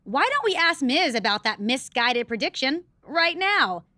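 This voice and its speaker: A woman's voice: sits high, sing-song, a little slow, pushed and bright, no echo, clean studio sound, exaggerated voice. A woman playing a snarky, condescending wrestling show co-host in a video game cutscene. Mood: haughty. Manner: sarcastic, haughty.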